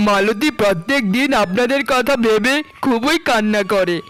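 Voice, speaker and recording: A man's voice: sits high, normal speed, fairly bright tone, smooth, faint music behind. A man voicing a humble, kindly poor young husband in a cartoon, respectful and earnest. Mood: kind, reassuring. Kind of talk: melodramatic cartoon voice acting.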